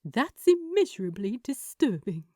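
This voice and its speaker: A woman voicing a fussy, kindly, anxious gentleman angel in an audio story, speaking politely and hesitantly. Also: fairly high pitch, very animated, measured pace, dry recording, studio quality. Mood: appalled. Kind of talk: audio fanfiction character acting.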